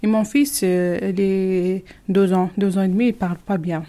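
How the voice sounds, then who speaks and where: A young woman from Algeria whose first language is not French, answering interview questions slowly in simple, halting phrases with many hesitations. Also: mid-range voice, fairly even intonation, warm tone.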